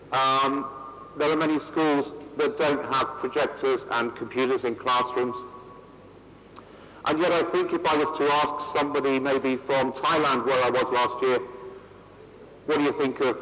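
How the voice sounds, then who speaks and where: Reflective man from Spain, reverberant. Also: mid-range voice. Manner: reflective, matter-of-fact. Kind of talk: interview.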